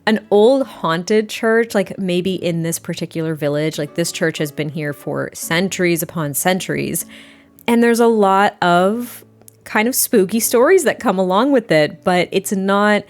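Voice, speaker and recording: A young adult woman with a slightly hoarse, rough voice, chatting casually and rambling through a voiceover, sounding a little tired. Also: on the low side, measured pace. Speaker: Sims speed build creator.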